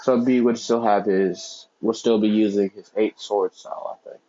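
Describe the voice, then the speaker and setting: Young man, low mellow voice, rambling fan-story narrator, dull lo-fi mic. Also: fairly even intonation, moderate pace. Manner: rambling, self-correcting, many fillers. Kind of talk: casual unscripted storytelling.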